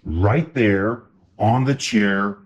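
A man's voice: fairly low pitch, extremely slow, dull audio. Figure: a man playing a stern papa bear. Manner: firm, rhyming lines. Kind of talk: storybook read-aloud.

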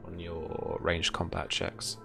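A man's voice: deep voice, completely flat delivery, takes it slowly, vocal fry. A young man speaking as game master, running a tabletop roleplaying game over music. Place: indoor room at home, video call. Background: background music.

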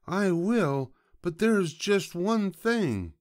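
A man's voice: high-pitched, lively intonation, slow, voice pushed up. A man voicing a small, polite young piglet with a wily, innocent-sounding manner in a children's storybook. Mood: resigned, stalling. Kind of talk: children's storybook read-aloud.

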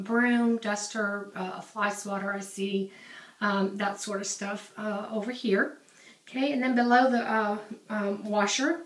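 A woman's voice: fairly high pitch, fairly bright tone. A woman giving a casual home tour of her laundry room, talking while filming with a handheld camera, with many ums and restarts. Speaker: home organizing vlogger. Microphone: handheld camera, off screen. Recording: echoey small tiled room.

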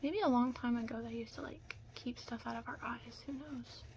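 A young woman doing an ASMR roleplay as a makeup stylist, speaking softly and breathily, often in a whisper, with reassuring asides. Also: a little high, steady tone, moderate pace. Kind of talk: ASMR roleplay, close personal attention. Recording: close-up with clear background noise.

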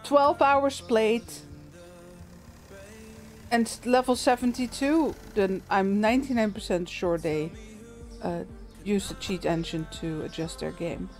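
Soft-spoken woman streamer chatting. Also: mid-range voice, slow pace, pauses often, faint music behind. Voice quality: soothing voice. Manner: relaxed, chatty, frequent little laughs and fillers. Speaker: game streamer. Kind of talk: casual gameplay commentary, chatting with viewers.

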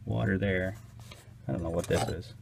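A man's voice: low voice, normal speed, vocal fry, a little hiss or hum. A man casually narrating as he inspects his beehives outdoors, hesitant and unsure, thinking aloud. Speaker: beekeeper.

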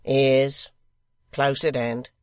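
A man's voice: mid-range voice, flat delivery, slow pace, smooth, dry recording, close to an everyday voice. A man voicing a sly, mock-courteous blind extortioner in a theatrical, insistent storybook reading. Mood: coolly menacing.

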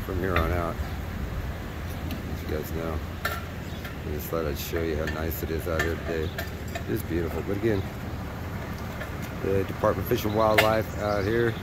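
A man hosting a casual fishing report outdoors by a river, talking in an easygoing, rambling way with plenty of fillers. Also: on the low side. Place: outdoors by a river on a windy day. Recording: windy outdoor camera audio with engine noise. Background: wind, rushing water and a running truck.

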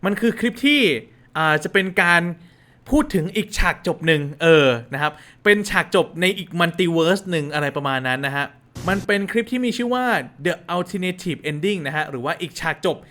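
A chatty, expressive man from Thailand hosting a casual horror reaction, commenting and exclaiming to viewers. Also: high voice, moderate pace. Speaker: host of a horror reaction channel.

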